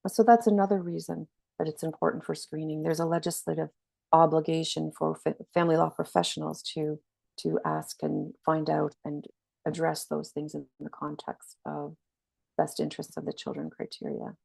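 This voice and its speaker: A woman's voice: low voice, moderate pace, vocal fry, a little mellow, no room sound. A woman with a slight cold, giving a webinar training presentation in an earnest, explanatory way. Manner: earnest, explanatory, frequent restarts. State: has a cold, slightly scratchy.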